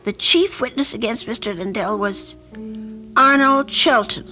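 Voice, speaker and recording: High-pitched, fretful, conscience-stricken lady. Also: sharp tone, faint music behind. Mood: worried. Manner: fretful, earnest, halting. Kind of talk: radio drama acting. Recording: faintly noisy archival radio recording.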